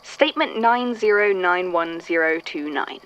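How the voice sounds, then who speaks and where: A woman's voice: lowish voice, expressive, a little slow, fairly bright tone, dull audio, a little hiss or hum. A woman playing an archivist in a fan audio drama, reading old supernatural statements and thinking aloud. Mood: intrigued, musing.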